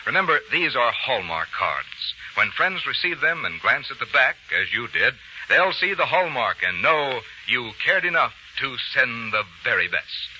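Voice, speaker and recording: A man announcing sponsor messages on 1940s radio with a polished, deliberate salesman's delivery. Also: medium pitch, big pitch swings, sharp tone. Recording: dull, faintly noisy old radio recording.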